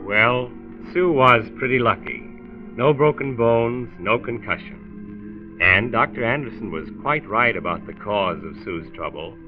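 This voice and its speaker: An actor playing a folksy, earnest narrator who gives health advice in a scripted 1940s radio series. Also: male voice, mid-range voice, animated, measured pace, over music, natural voice. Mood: earnest, instructive. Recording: tinny 1940s radio recording.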